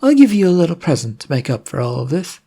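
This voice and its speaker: A narrator playing a ruthless female gunslinger from an adventure audiobook. She is husky and creaky, brusque and bored, with dismissive sarcasm in her delivery. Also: mid-range voice, sing-song, fast, warm tone, pitched above the performer's own voice. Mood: bored, breezy.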